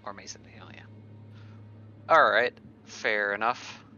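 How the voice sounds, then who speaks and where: A man's voice: lowish voice, fairly even intonation, unhurried, sharp tone, highs cut off, faint music behind. A man chatting casually as a player in a tabletop roleplaying game.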